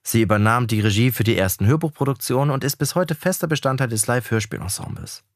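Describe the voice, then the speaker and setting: A man's voice: mid-range voice, quick pace, no echo, clean studio sound. A man reading a scripted podcast introduction as narrator. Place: dry, close studio-style recording.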